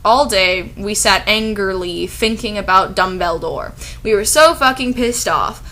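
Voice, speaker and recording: Woman as angry goth teen girl, sharp bright voice, melodramatic, self-important, story narration. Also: medium pitch, a little slow. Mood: sullen.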